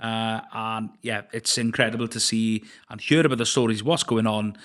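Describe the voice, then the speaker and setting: A man hosting a podcast interview, enthusiastic but halting, often restarting his sentences. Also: lowish voice, fairly even intonation, fairly bright tone. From Wales. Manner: hesitant, many restarts and fillers, enthusiastic. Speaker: podcast host. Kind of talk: casual interview chat.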